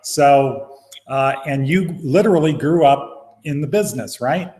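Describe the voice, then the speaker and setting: A man's voice: a little high, unhurried, darkish tone, low-quality recording. A man hosting a casual interview, asking friendly questions with short prompts. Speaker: interview host.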